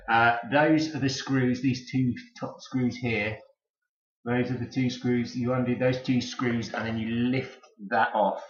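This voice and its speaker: A man's voice: lowish voice, fairly bright tone. Echoey British man reviewing a toy casually. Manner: enthusiastic fan, chatty and informal. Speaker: toy reviewer and video host. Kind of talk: casual unscripted product review. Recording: echoey room, slightly dull sound.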